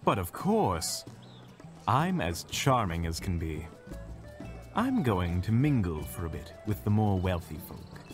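A man playing a smug, suave, pompous gentleman schemer in an audio drama. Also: deep voice, sing-song, measured pace, over music. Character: smug, self-styled charming gentleman schemer.

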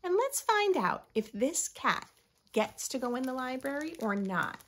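An American woman in her thirties reading a picture book aloud with expressive, teacherly asides to young children. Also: high-pitched, measured pace, a little hiss or hum. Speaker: children's story host. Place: small furnished room at home.

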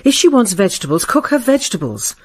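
An actress playing an impatient, imperious British lawyer in a radio play, curt and exasperated. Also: female voice, on the high side, very animated, fast, husky.

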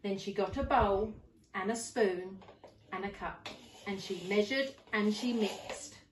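Woman storyteller, teacher style: animated, slow and deliberate narration for small children, mic at some distance. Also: mid-range voice, a little hiss or hum. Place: living room at home. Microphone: camera a few metres away. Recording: roomy, distant camera microphone.

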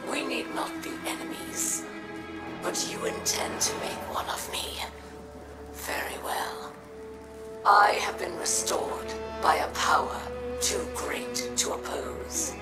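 Echoing and whispered, this is a proud, bitter female alien warlord from a video game. She draws out each threat in a creaky growl, swooping in pitch, with loud music behind her. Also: sing-song, exaggerated voice. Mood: cold menace. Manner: slow, deliberate, theatrical and threatening. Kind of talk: scripted video game dialogue.

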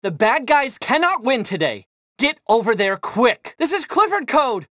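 Casting: condescending, entitled tech boss for a game, corporate jargon, very dry recording. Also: male voice, very high-pitched, very animated, a little slow, a bit bright, dry recording, exaggerated voice. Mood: panicked. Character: smug, entitled male tech billionaire, self-important and easily panicked. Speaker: tech company boss and client. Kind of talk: video game voice acting.

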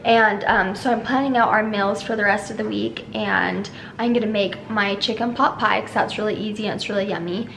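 A young American woman with a slightly hoarse voice, chatting casually to a handheld camera at home as a family vlogger. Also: mid-range voice, steady tone, fairly quick, few pauses, a bit bright, a little hiss or hum. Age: young adult. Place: kitchen and living room at home. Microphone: camera held at arm's length.

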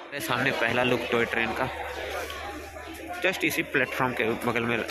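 A man's voice: medium pitch, steady tone, moderate pace. A young man talking to camera as a travel vlogger, recorded at arm's length on railway platforms. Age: young adult. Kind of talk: on-location vlog talk to camera. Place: outdoors on railway platforms beside trains. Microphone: phone or camera at arm's length. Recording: loud railway station and train noise, crowd.

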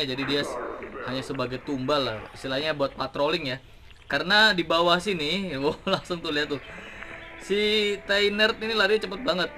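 A man in his thirties giving excited play-by-play on a mobile game as he plays, cheering on his units, with a slight cough. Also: a little high, noisy background. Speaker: gaming YouTuber. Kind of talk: mobile game commentary and tutorial. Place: indoor room at a desk. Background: game music.

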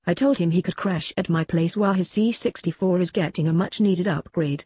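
A text-to-speech female voice playing a sardonic disembodied computer moderator, deadpan in scripted comedy dialogue. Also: lowish voice, a little fast, breathy edge. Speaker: moderator and sidekick.

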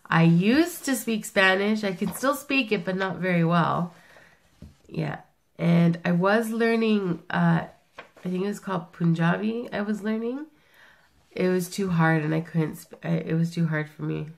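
A woman's voice: on the low side, unhurried, gravelly. A middle-aged woman whose first language is Italian, chatting casually and rambling through a tag video while sick, recorded on a webcam in a small room. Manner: chatty, rambling, self-correcting. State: sick, rough voice. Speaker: vlogger.

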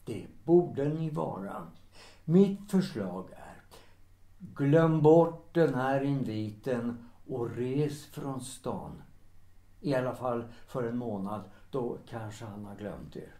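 Period radio play servant played by a man: resigned, polite, guarded, quietly bitter, with audible room echo. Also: mid-range voice, expressive, lightly acted. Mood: ominous warning. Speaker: actor in an audio drama. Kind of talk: period audio drama acting, 1930s setting. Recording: roomy, echoing sound.

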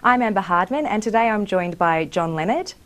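A young woman hosting a studio interview show, upbeat and quick with reactions, on a lapel mic. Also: medium pitch, expressive, a bit bright. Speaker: host. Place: small studio with curtain backdrop.

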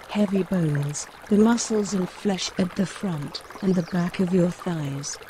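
A woman gently guiding a mindfulness meditation in measured short phrases, with pauses between them. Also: on the low side, a little hiss or hum. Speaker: meditation guide. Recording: clear running stream water behind the voice. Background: trickling woodland stream.

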